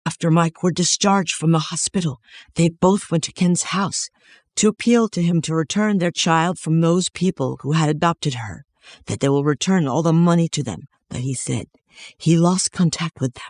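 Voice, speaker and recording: Higher-pitched male story narrator. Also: moderate pace, no echo.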